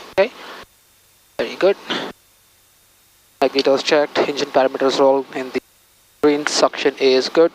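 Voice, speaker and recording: Creaky-voiced man running cockpit checks. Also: medium pitch, a little hiss or hum, rough recording. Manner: clipped, methodical phrases. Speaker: pilot. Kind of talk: calling out pre-flight checks aloud, self-talk. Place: cockpit of a small propeller plane.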